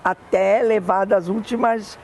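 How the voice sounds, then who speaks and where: An older woman with a Brazilian Portuguese accent, fondly recalling family memories in an oral history interview, often pausing to correct herself. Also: medium pitch, fairly even intonation, darkish tone, slight background noise. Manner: fond, meandering reminiscing with self-corrections.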